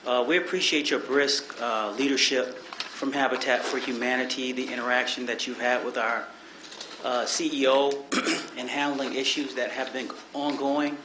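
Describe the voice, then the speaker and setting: A man with a raspy voice, an operations director, addressing a city council haltingly with many fillers. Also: medium pitch, little pitch movement, reverberant, background noise. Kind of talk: public presentation. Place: council chamber.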